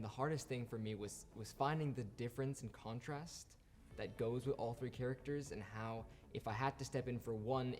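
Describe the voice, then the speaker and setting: A man's voice: medium pitch, darkish tone. A young man, an understudy actor, talking casually in an interview. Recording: music under the voice.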